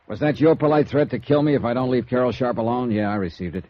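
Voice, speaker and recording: An actor playing a cocky, wry freelance insurance investigator in clipped 1950s radio noir style. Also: male voice, mid-range voice, lively intonation, fast talker, crowd noise, close to an everyday voice. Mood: wry, guarded. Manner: wry, clipped hard-boiled delivery. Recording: dull vintage radio recording.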